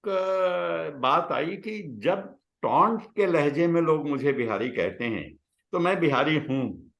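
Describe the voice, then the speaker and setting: Guy, reminiscing, pretty animated pitch, echoey room. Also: slow pace, gravelly, dull audio. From Bihar, India. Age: 60s. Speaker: guest, retired scientist. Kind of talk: reflective personal storytelling in conversation. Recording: roomy, echoing sound.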